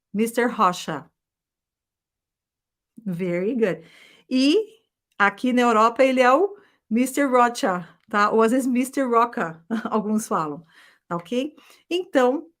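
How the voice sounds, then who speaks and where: A woman in her forties from Brazil, teaching a live class with a lively manner, often ending phrases with a quick check and having students repeat after her. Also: on the high side. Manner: lively, ends phrases with a checking tag, drills repetition. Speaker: English teacher. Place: abroad in Europe.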